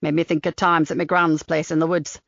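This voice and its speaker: A woman voicing a chatty, kindly old countryman gardener with a broad Lincolnshire dialect, slow and lower than her own voice. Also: level tone, creaky, fairly bright tone, no room sound. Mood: folksy. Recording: slightly dull dry recording.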